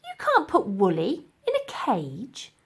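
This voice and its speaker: A woman's voice: huge pitch swings, takes it slowly, breathy edge, exaggerated voice. A woman voicing a brave, indignant young girl who exclaims and protests, in a children's audiobook. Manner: exclaiming, high-pitched protest. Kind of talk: children's audiobook character acting.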